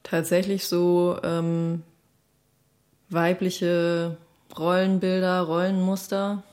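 A woman's voice: lowish voice, little pitch movement, slow pace, a little mellow. A woman answering reflectively in an interview, hesitant and thoughtful.

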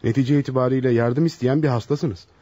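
An actor playing a polite, bemused young bachelor psychologist in a radio play, courteous and questioning, easily flustered. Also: male voice, mid-range voice, steady tone, a little fast, mellow, natural voice. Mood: reassuring, firm. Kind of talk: radio theatre acting. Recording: dull, roomy lo-fi radio drama.

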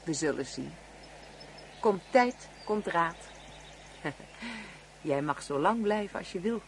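A woman's voice: fairly low pitch, lively intonation, slow, slight background noise. An actress playing a motherly, insistent married woman in a radio play, coaxing and teasing with little tag questions. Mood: soft, coaxing.

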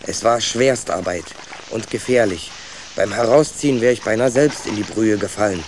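A man's voice: on the low side, moderate pace, a little hiss or hum, lightly acted. A young man playing an adventurous, stubborn young rat who tells his own story in a children's radio play. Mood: absorbed storytelling. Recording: clear ambient effects behind.

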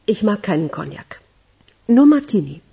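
An actress playing a cool, sharp-tongued woman with a dry, ironic manner in a radio drama. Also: medium pitch, sing-song, normal speed, creaky, slightly rough, full character voice. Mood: dryly teasing.

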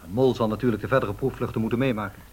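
An actor playing a loyal, matter-of-fact airline pilot in a radio drama, clipped, deferential and factual. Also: male voice, on the low side, steady tone, racing, slightly rough. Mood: respectful, businesslike.

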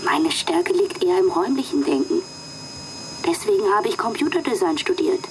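A woman's voice: mid-range voice, very animated, moderate pace, slight background noise, poor audio. A woman playing an earnest job candidate who thinks aloud in a radio drama.

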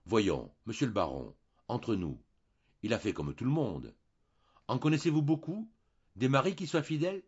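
A man's voice: medium pitch, sing-song, normal speed, long pauses, a little mellow, breathy edge, muffled recording, pitched above the performer's own voice. A man doing a chatty old country priest. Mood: preachy. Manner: moralizing then sly and jovial.

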